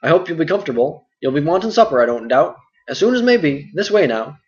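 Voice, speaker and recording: A man voicing a fat, bustling, talkative innkeeper who speaks loudly and in a hurry, on a dull lo-fi recording. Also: on the high side, very animated. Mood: bustling chatty. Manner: loud, hurried, endless stream of talk. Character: fat bustling middle-aged male innkeeper, chatty.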